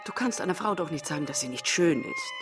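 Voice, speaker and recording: An earthy, direct woman with a low, very lively voice. She speaks with wry feeling in a radio play, with music underneath. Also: fast talker. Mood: wry, flirtatious. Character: earthy, forthright older wife, a former dancer. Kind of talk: radio drama acting.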